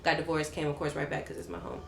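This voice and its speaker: A woman's voice: low voice, very animated, quick pace, creaky, roomy sound, a little hiss or hum. A woman in her late twenties from Texas, co-hosting a podcast in casual, emphatic chat. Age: late 20s. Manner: open, emphatic, repeats "you know what I'm saying". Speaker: podcast co-host.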